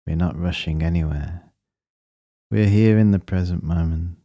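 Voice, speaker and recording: A man leading a guided meditation, speaking in a calm, measured and gently instructing manner. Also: deep voice, no echo, dull audio.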